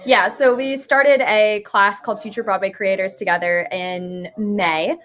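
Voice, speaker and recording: Young woman, average pitch, casual slangy chat, interview guest. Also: fairly even intonation, a little slow, a bit bright. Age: early 20s. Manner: youthful slangy speech. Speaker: interview guest, musical writer.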